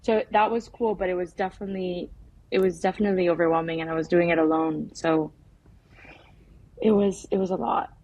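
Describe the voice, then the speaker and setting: A young woman from the United States answering interview questions as a documentary filmmaker, in a casual, halting, informal way full of filler words. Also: on the low side, fairly even intonation, darkish tone, highs cut off. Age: young adult.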